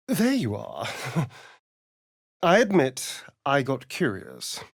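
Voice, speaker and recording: A man's voice: medium pitch, slightly rough, exaggerated voice. An actor playing a centuries-old male vampire elf, arch and theatrical in video game lines. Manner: theatrical, arch, relishing words.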